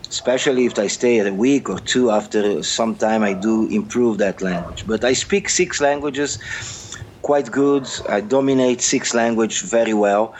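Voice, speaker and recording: A middle-aged man with a Brazilian Portuguese accent, a journalist answering interview questions in a halting, earnest way, often repeating words as he searches. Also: on the low side, darkish tone, slight background noise. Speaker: journalist guest.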